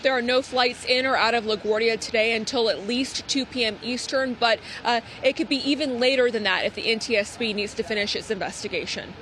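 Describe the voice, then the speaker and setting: A woman's voice: on the high side, fairly quick, hardly any pauses, a bit bright. A woman news correspondent delivering a live report from outdoors in an urban setting. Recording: outdoor urban ambience, traffic noise.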